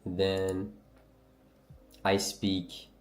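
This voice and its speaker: A man's voice: deep voice, completely flat delivery, drawn-out words, echoey room. A young man from Algeria, raised in Canada, with a French Canadian accent, casually chatting and answering viewer questions on a trading livestream. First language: Berber language. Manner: loose, slangy, reads and answers chat. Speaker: livestream trader host.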